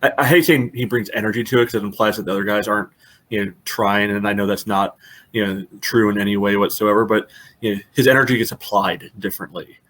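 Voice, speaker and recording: Low, flat-toned man talking sports. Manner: halting, repeatedly peppers speech with "you know". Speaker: podcast host. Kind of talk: casual sports analysis chat.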